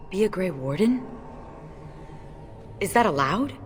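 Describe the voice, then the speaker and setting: A woman's voice: medium pitch, sing-song, unhurried, a little hiss or hum. A woman playing a stern, fatalistic dwarf warrior in a video game, speaking in an earnest, wry way. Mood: surprised, eager.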